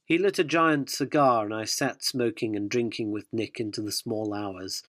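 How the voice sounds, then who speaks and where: A man's voice: lowish voice, moderate pace, a bit bright, no room sound, clean studio sound, lightly acted. A man playing a cheeky, cynical yuppie journalist who narrates a radio drama in a wry, provocative way. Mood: wry narrating.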